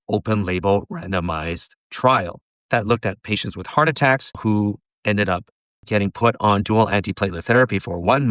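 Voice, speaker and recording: A man with a hoarse voice from laryngitis, giving a teacherly tutorial and posing questions for the viewer to pause on. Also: on the low side, a bit bright, no room sound. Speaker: pharmacist and educator. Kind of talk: tutorial lecture.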